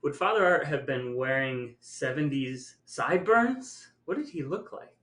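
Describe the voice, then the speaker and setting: A man's voice: medium pitch, animated, unhurried, fairly bright tone. A middle-aged American man hosting a podcast in a casual, conversational style. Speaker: podcast host. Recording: roomy sound with music.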